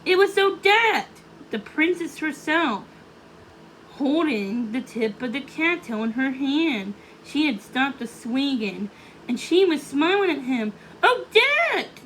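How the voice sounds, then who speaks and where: A woman narrates a children's storybook in a high, slow voice. She reads a little haltingly, stumbling on words. Faint noise sits behind her. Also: expressive, rough recording.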